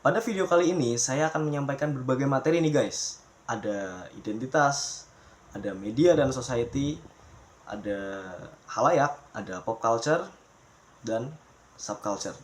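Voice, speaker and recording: Chatty young man presenting a class topic. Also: medium pitch. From Pemalang, Central Java, Indonesia. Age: young adult. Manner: chatty, calls the viewers guys, mixes in English terms. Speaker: university student presenter.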